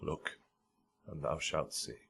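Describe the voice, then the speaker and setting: An actor playing a stern, authoritative prince in a Shakespearean radio drama. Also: male voice, rumbling low, slow pace, vocal fry, sharp tone, slightly rough, full character voice. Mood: stern, commanding.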